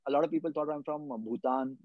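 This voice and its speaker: A man's voice: fairly high pitch, fast, no room sound. A young man from India, a guest footballer in a casual podcast chat, explaining earnestly and often repeating his words as he thinks. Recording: dull lo-fi podcast mic.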